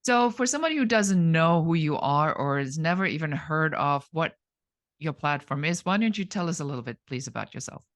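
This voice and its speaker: A woman presenting and interviewing as a podcast host, phrasing things deliberately and addressing people directly. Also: sits low, animated.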